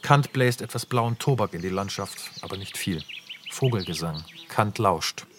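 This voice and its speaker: A man reading the stage directions of a radio play in a plain, measured way. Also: sits low, animated, noisy background. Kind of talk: scripted radio play narration.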